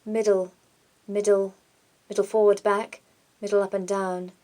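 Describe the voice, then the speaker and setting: A woman's voice: medium pitch, flat delivery, measured pace, warm tone, lightly acted, low-quality recording. A woman voicing a docile, proper young British lady for an audiobook, careful and reserved.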